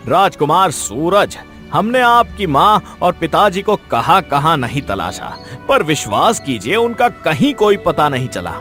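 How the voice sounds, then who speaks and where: A man's voice: on the high side, big pitch swings, unhurried, hardly any pauses, pushed and bright, faint music behind, heavily acted. A man voicing a concerned, respectful royal minister in a cartoon moral story.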